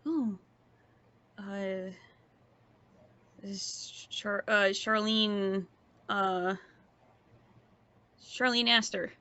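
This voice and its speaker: A woman playing a forgetful, earnest young vampire in a tabletop game, stammering and halting as she talks. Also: medium pitch, steady tone, bright, forceful tone, lo-fi sound. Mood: hesitant, friendly.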